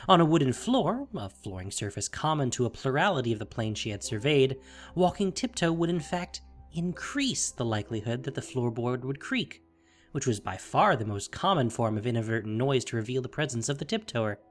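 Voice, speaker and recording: A man narrating a scripted fantasy audio drama with measured, theatrical phrasing. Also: mid-range voice, big pitch swings. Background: music.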